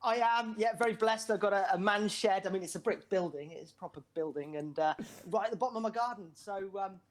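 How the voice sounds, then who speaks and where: A man in his forties, a wellbeing coach, speaking earnestly as a guest, with frequent hesitations. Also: sits high. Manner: earnest, halting with fillers. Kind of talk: conversational explaining. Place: brick garden office.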